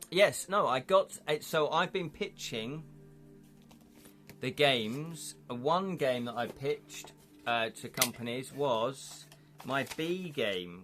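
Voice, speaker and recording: An English-accented man rambles through a relaxed live-stream chat, often restarting his sentences as he thinks aloud. His voice sits at a medium pitch, and faint music plays underneath. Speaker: livestream host. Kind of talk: casual live-stream chat, reading viewer comments.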